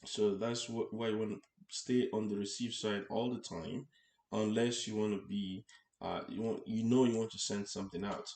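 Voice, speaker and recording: Low-voiced man teaching in an echoey room. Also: fairly even intonation, darkish tone. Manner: halting, repeats and rephrases phrases, checks with "right?". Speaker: instructor. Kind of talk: explanatory tutorial lecture, unscripted. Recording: echoey, roomy sound.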